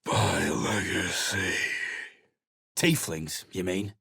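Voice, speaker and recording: An actor playing a surly, battle-worn mercenary adventurer in a video game, speaking in a gruff, blunt, dismissive way. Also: male voice, sits low, steady tone, drawn-out words, bright, forceful tone, no room sound, studio quality, full character voice.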